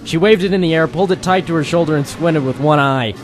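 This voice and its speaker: An actor playing a hard-boiled, wry private eye in a noir radio play, with clipped storytelling. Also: male voice, a little high, quick pace, lightly acted. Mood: wry narrating. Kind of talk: noir radio-play detective narration and dialogue. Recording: music under the voice.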